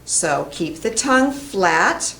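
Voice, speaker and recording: A middle-aged American woman giving a pronunciation lesson to camera in a deliberate, emphatic, carefully enunciated way. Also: medium pitch, sing-song, gravelly, fairly bright tone, slight background noise. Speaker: English pronunciation teacher. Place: indoor classroom in front of a whiteboard.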